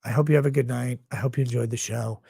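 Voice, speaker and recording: A tired man with a gravelly voice, hosting a livestream and signing off in a rambling, blunt, profane way. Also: medium pitch, steady tone, fast talker, mellow, no echo, clean studio sound. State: tired, low on sleep. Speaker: livestream host. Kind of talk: casual livestream sign-off chat.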